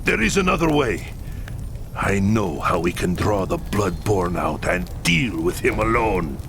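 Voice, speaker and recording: An actor playing a bitter, vengeful middle-aged clan leader in a video game, heated and dramatic, with a gravelly voice. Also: male voice, deep voice, sing-song, unhurried, noisy background, exaggerated voice. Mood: grim demanding. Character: bitter, vengeful human clan leader, grieving husband and father. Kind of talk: video game cutscene acting. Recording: loud music behind.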